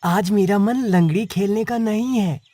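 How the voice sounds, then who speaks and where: Woman playing an earnest village child, mid-pitched. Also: a little slow, warm tone, dry recording, lightly acted. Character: young village child, earnest and caring playmate. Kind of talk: Hindi cartoon story voice acting.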